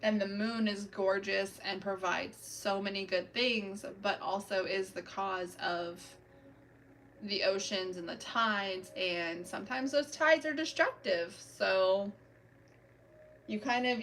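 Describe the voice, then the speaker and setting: A woman's voice: medium pitch, unhurried, a bit bright, far from the mic, poor audio. A woman chats away to her live viewers while she works on a manicure, talking through every step as she goes. Her voice sits a little off the mic. There is a faint hum of room noise behind her. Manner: chatty, self-narrating, talks to chat while working. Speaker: livestream host doing nail art. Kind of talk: casual chat with live viewers. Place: home, doing a manicure.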